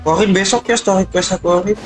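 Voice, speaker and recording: A man's voice: high-pitched, fairly even intonation, quick pace. Brief: Indonesian male game streamer, casual slangy reactions, joking asides, light game music underneath. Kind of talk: casual gameplay reaction chat.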